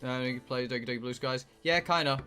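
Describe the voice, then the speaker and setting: Bright-voiced British man chatting on stream. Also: medium pitch, fast talker, soft background music. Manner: blunt, sweary, joking. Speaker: live streamer playing a visual novel. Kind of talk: casual rambling chat with viewers.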